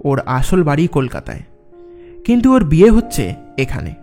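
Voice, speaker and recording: A man's voice: a little high, huge pitch swings, normal speed, mellow. An actor playing a skeptical young office man in a dramatised horror story. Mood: narrating, uneasy. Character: young office man recounting his eerie night, dismissive, skeptical. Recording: music under the voice. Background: background music.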